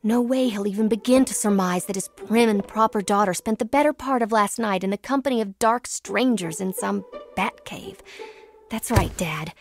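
An actress playing a young superheroine in an animated comic, spirited, defiant and sarcastic. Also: female voice, mid-range voice, moderate pace, natural voice. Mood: wry. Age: young adult. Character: feisty young woman superheroine, defiant and wry. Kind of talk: animated motion comic acting with inner monologue. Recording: music under the voice.

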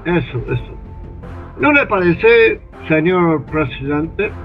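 An older man in his sixties plays a smooth, manipulative male British ambassador in a radio drama recorded over a video call, talking slowly and persuasively. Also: on the high side, lively intonation. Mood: scheming. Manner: slow, insinuating, persuasive. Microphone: computer webcam mic on a video call. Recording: tinny phone-like line with faint music.

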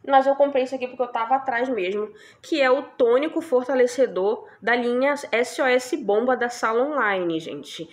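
A woman's voice: fairly high pitch, moderate pace, few pauses. A young woman chatting casually about products she bought, asking her viewers for agreement every few lines. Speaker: beauty vlogger. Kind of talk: casual product haul chat.

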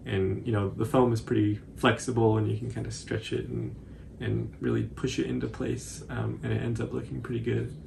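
A man in his twenties giving a casual, informal tutorial commentary in a halting, hesitant way. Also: medium pitch, level tone, creaky, noisy background. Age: 20s. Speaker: cosplay tutorial presenter.

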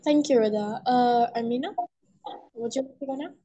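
A woman's voice: fairly high pitch, moderate pace, mellow, highs cut off. A woman moderating a panel on a call, politely handing turns to speakers. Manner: brief, polite turn-giving.

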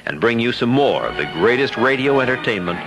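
A man's voice: medium pitch, big pitch swings, fairly quick, over loud music, rough recording. An older American man hosting a nostalgic radio show, speaking directly to his listeners. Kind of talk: nostalgic presenter talk to listeners.

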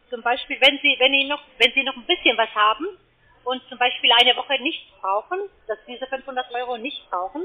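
High-voiced woman on a tinny phone line. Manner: hesitant, halting, persuading. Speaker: call centre trading salesperson. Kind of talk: unscripted sales phone call. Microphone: telephone.

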